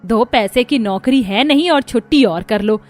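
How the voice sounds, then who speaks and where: Woman performing middle-aged mother-in-law, bitter, sarcastic, scolding, high-pitched, cartoon story, music behind. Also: animated, normal speed, a little mellow, natural voice. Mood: angry, scolding. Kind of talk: Hindi cartoon story dubbing.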